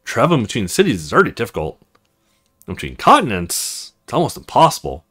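A man's voice: lowish voice, wildly swooping pitch, fast talker, fairly bright tone, husky, heavily acted. A man voicing a frank, chatty young female agent in a visual novel, with a teasing, conversational manner. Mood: skeptical.

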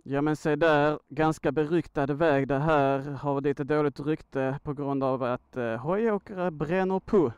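A man's voice: on the high side, few pauses, darkish tone, clear, resonant tone, dry recording, muffled recording. A man from Sweden giving a casual, slangy vlog monologue, thinking aloud as he talks. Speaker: motorcycle vlogger, host. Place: outdoors on a motorcycle by a road.

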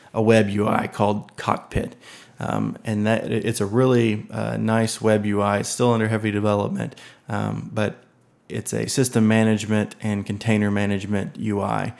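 A man giving a relaxed screen-recorded software tutorial, thinking aloud with many ums and uhs. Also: fairly low pitch, normal speed, gravelly, few pauses. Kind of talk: casual screen-recorded tech walkthrough.

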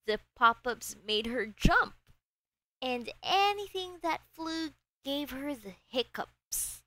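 Woman doing storytime for kids, kinda high voice, super animated, goes slow. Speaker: children's book reader. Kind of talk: expressive read-aloud storytelling for children.

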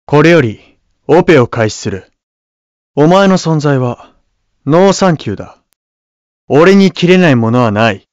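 An actor voicing a proud, cool young surgeon who is an armored hero in a video game, speaking in curt, haughty, solemn declarations. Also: male voice, a little high, expressive, measured pace, pauses often, no echo, lo-fi sound. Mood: cool, resolute. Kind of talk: video game battle voice lines.

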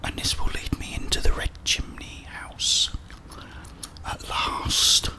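A middle-aged man with a British accent whispers his way through a video game in ASMR style. He thinks aloud in a soft, breathy murmur, close to the microphone, as if muttering to himself. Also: slow. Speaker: gaming streamer.

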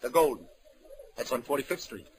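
An actor playing a brisk Broadway police detective in an old-time radio drama, American accent, clipped and businesslike. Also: male voice, medium pitch, animated, slight background noise, natural voice, poor audio. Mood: thoughtful. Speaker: lead actor.

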